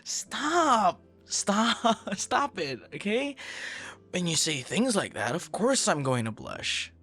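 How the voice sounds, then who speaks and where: A man's voice: high voice, wildly swooping pitch, takes it slowly, pushed and bright, full character voice. A man playing a shy, dorky young man in an ASMR boyfriend roleplay, stammering and bashful, smitten with a stranger. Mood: flustered, embarrassed. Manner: stammering, bashful, awkward, breathy laughs and sighs.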